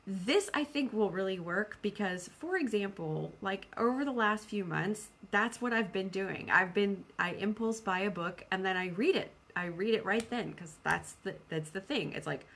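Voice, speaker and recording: A woman's voice: mid-range voice, moderate pace, few pauses. Chatty, self-deprecating woman vlogger. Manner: animated self-quoting, repeats phrases for emphasis. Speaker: book vlogger. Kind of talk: casual, rambling video chat about personal plans.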